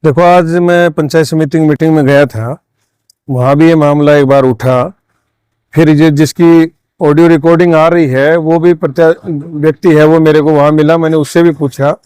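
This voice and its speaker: Male political interviewee, fairly high smooth voice, dry sound, insistent. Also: fairly even intonation, normal speed, a little mellow, dry recording. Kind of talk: interview statement.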